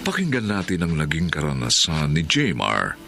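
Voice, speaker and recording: A man's voice: a bass voice, wildly swooping pitch, moderate pace, gravelly, over music. A man announcing a radio drama in a dramatic introductory style.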